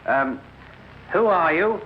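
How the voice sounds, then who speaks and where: A man's voice: sits high, lively intonation, slow pace. An actor playing a timid, simple working-class British man at a seance, speaking hesitantly in a muffled 1950s radio drama. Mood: uncertain, hopeful. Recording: muffled, noisy old radio recording.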